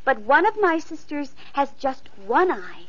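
A woman's voice: high voice, big pitch swings, a little slow, fairly bright tone, heavily acted. A lonely, tearful young girl. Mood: earnest. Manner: pleading, tearful. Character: poor lonely young girl Two Eyes, sweet-natured. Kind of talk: 1950s radio acting. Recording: dull lo-fi old radio recording.

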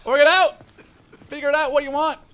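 A man in his twenties giving casual, sweary commentary over first-person shooter gameplay in an excitable manner. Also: very high-pitched, a little fast, slight background noise. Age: 20s. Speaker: gaming video creator. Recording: loud gunfire and explosions behind. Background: war game sound effects, gunfire, machine guns.

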